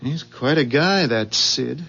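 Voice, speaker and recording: An actor playing a rattled, suspicious husband in a 1970s radio drama, stammering and emphatic on a muffled recording. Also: male voice, mid-range voice, expressive, takes it slowly, creaky, bright, forceful tone, slightly rough, heavily acted. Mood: tipsy wonder, casual.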